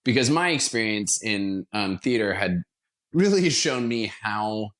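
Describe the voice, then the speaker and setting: A man's voice: on the low side, lively intonation, unhurried, fairly bright tone. A man in his twenties from the United States, speaking as a podcast guest and telling anecdotes conversationally with light, self-deprecating humor. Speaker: podcast guest, actor and theater company founder. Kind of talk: conversational interview storytelling.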